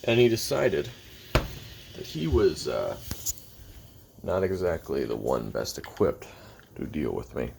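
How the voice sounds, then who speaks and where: A man playing a weary, sardonic assassin recording confessional memoirs, halting and deadpan, with a gravelly voice. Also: extremely low pitch, background noise, heavily acted. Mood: dry, sardonic. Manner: halting, deadpan, dryly self-deprecating. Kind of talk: confessional audio roleplay monologue.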